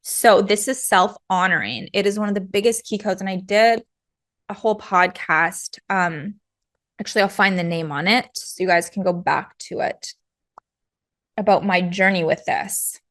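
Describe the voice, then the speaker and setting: A woman with a cold talks through a spiritual coaching podcast, her voice worn from hours of teaching. She rambles earnestly and casually, leaning on "like" again and again. Her pitch sits in a plain middle range. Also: normal speed, fairly bright tone. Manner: chatty, many "like" fillers, earnest.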